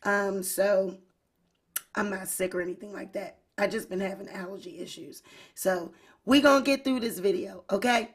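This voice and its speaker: A woman hosting her own online channel, talking casually to her viewers in a hoarse voice, addressing them as y'all and you guys. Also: medium pitch, normal speed. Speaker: YouTube host. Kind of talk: casual livestream commentary.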